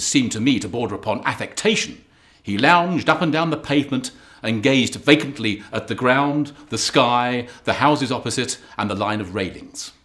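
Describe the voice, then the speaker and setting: A man reading a classic detective story as its first-person narrator, in a deliberate, expressive storytelling manner. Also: mid-range voice. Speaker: audiobook reader as Dr Watson. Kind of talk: scripted literary narration.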